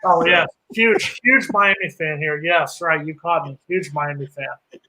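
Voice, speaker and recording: A man co-hosting a casual sports chat, ranting sarcastically in a high voice. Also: expressive, measured pace, creaky, sharp tone, low-quality recording. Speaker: co-host.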